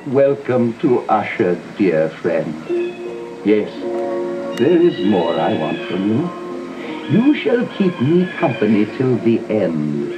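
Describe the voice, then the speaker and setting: Muffled old tape w music, sick gloomy old aristocrat, slow n halting, very dramatic gothic audio play. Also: male voice, lowish voice, sing-song. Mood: weary welcome. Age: older. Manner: theatrical, halting, mournful. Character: sickly, doomed old aristocratic man, nervous and melancholy. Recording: dull old cassette dub with music.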